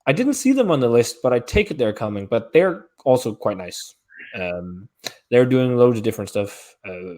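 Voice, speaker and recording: A young man with a Danish accent chats casually on a live stream. His voice sits at a middling pitch, and he talks in long, rambling explanations full of fillers. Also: darkish tone. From Denmark. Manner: chatty, explains at length with fillers. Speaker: beer channel host.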